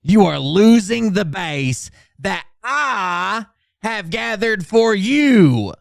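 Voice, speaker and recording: Man acting out a pompous male orator, grandiose, declamatory, slow and drawn-out, raised pitch, dry recording. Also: expressive, gravelly, no room sound, heavily acted. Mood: indignant, demanding. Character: pompous, self-important male doomsaying orator. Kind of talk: over-dramatic reading.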